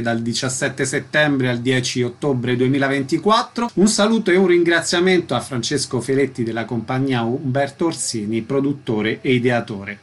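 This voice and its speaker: A man's voice: medium pitch, a bit bright. A man hosting a radio programme, presenting details and putting questions to guests. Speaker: radio host, interviewer.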